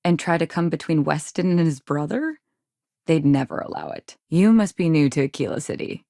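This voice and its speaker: Low-voiced, ambitious, frustrated frontier wife. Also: female voice, animated, normal speed, creaky, dark tone, no echo, studio quality. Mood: matter-of-fact. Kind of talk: video game dialogue acting.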